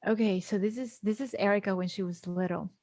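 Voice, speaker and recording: A woman's voice: medium pitch, steady tone, brisk, warm tone, no echo. A middle-aged woman from Guatemala telling her personal story as an interview guest, earnest and emphatic, repeating phrases for weight. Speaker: podcast guest, speaker and executive coach.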